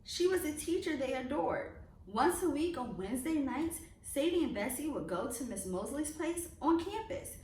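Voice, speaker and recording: A woman reading a children's picture book aloud as a storyteller, expressively and with deliberate emphasis on phrases. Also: sits high, big pitch swings, a little mellow. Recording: roomy echo, distant mic, faint noise.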